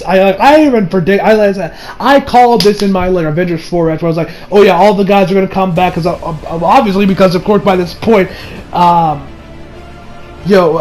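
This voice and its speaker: A young adult man giving a casual, rambling movie review with excitable delivery and frequent swearing. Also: high-pitched, poor audio. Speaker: video reviewer. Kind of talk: casual movie review chat over gameplay.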